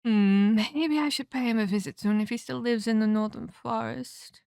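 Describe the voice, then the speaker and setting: A woman voicing an ancient female vampire in an ASMR roleplay, a measured, patronizing mentor who scolds and teases with veiled menace. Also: fairly high pitch, steady tone, gravelly, darkish tone, clear, resonant tone, dry recording, clean studio sound. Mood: musing. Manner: condescending, teasing, measured, addresses listener as child.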